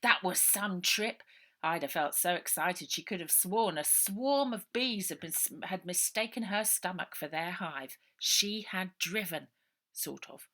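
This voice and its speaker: A woman author reading her own children's novel aloud as expressive narration. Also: on the low side, unhurried.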